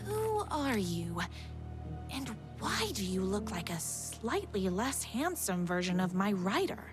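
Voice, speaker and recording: An actress playing a perky, obsessive young waitress and superfan in a video game, gushing and melodramatic. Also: female voice, mid-range voice, big pitch swings, slow pace. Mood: suspicious. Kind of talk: theatrical game dialogue and self-narration. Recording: music under the voice.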